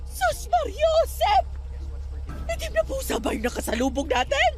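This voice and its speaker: A devout, superstitious aunt in a radio drama. Her voice is high and moves dramatically up and down as she frets and chides, with music underneath. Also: huge pitch swings, normal speed, exaggerated voice. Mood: anxious. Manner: fretful, chiding. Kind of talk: Filipino radio drama acting.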